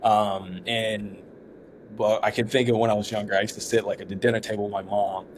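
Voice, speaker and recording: Deep-voiced founder from Ghana. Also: male voice, level tone, noisy background. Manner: halting, many restarts and fillers. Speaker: startup founder, guest. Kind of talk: casual interview talk.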